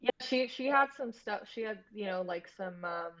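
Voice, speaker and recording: A woman's voice: medium pitch, lively intonation, normal speed, fairly bright tone, muffled recording. A woman talking casually and informally as a guest in a conversation over a remote call. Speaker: podcast guest, horror producer. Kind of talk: casual chat.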